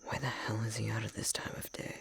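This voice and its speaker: Prickly male elf rival for ASMR roleplay, played by a man: whispery, gravelly, curt, haughty but secretly caring. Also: fairly low pitch, level tone, quick pace, a bit bright. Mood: hushed, irritated. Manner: curt, haughty, exasperated, often dropping into whispers.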